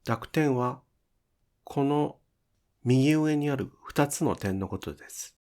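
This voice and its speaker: Male teacher, instructional narration, very slow careful delivery, lively intonation, step-by-step. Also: medium pitch. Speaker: Japanese pronunciation teacher.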